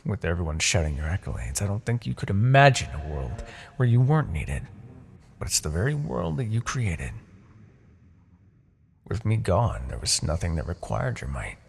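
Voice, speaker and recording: A man playing a smug, seductive, possessive Demon King in a fantasy ASMR roleplay, with a gravelly, breathy voice and theatrical, taunting delivery. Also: deep voice, very animated, heavily acted. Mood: mocking, condescending. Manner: theatrical, taunting, drawn-out phrasing with soft asides.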